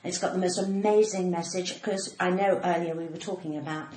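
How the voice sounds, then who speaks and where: A woman's voice: fairly low pitch, steady tone, fairly quick, dark tone. A woman in her sixties with a cut-glass English accent, chatting casually and theatrically as a podcast guest, often emphatic and self-mocking. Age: 60s. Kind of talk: casual chat. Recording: roomy echo, faint background noise.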